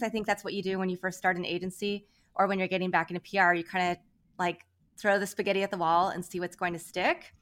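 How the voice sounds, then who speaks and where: Middle aged lady guest on a podcast, bright clear-cut tone, explaining stuff casually. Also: mid-range voice. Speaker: podcast guest, PR agency founder. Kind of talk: casual conversational interview.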